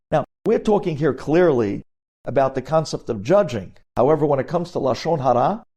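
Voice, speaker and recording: Man, rabbi, sermon-style lecture, mellow timbre, mid-range pitch, emphatic, direct address, Hebrew religious terms. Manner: emphatic, addresses listeners directly with rhetorical questions. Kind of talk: sermon-like lecture with storytelling and acted-out dialogue.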